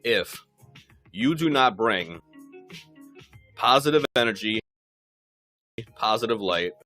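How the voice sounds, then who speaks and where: Low-voiced forty-year-old man, emphatic podcast talker. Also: animated, slow, pushed and bright, faint music behind. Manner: emphatic, repetitive, profane. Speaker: podcast co-host. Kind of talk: casual conversational podcast chat.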